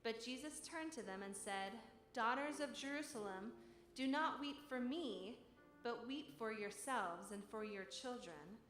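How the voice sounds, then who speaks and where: A woman's voice: on the high side, animated, unhurried, fairly bright tone. Echoey woman's voice, solemn dramatic reading. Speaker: church reader. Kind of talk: solemn first-person dramatic devotional reading. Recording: echoey room. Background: music.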